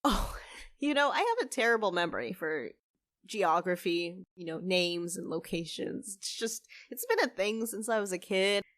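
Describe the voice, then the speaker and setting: An actress playing a young half-fae newcomer, secretive and good-hearted, with a hesitant manner in an audio drama. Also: female voice, mid-range voice, animated, normal speed, a bit bright, dry recording, clean studio sound, lightly acted. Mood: flustered, evasive.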